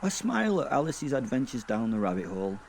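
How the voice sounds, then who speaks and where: A man voicing a crude, sardonic werewolf who narrates a dark fantasy audio drama in a halting, deadpan way. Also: medium pitch, creaky, slight background noise, voice pushed up. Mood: wry, musing. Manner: sweary, deadpan, wry, halting. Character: crude, sardonic male werewolf narrating his own afterlife.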